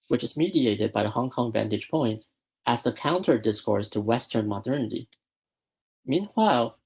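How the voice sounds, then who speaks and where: A young man presenting a prepared academic paper at a student research school, reading in a measured way and often correcting himself mid-word. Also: medium pitch, creaky, a little mellow, breathy edge. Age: young adult. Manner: measured, with frequent self-corrections.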